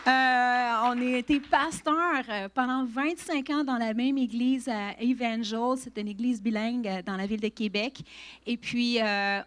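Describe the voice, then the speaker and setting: Québécois woman in her fifties, guest church speaker, higher voice, chatty with restarts. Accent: Québécois French. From Quebec. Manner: warm, chatty, laughs often, many restarts and fillers. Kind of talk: church introduction and testimony.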